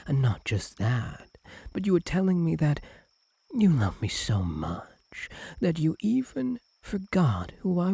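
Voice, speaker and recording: A man voicing a doting, possessive fox-spirit husband in an intimate ASMR roleplay, soft and breathy, often slipping into whispers, tender and clingy. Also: medium pitch, no room sound, full character voice. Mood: adoring, confessional. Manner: tender, clingy, hesitant, lingering on words.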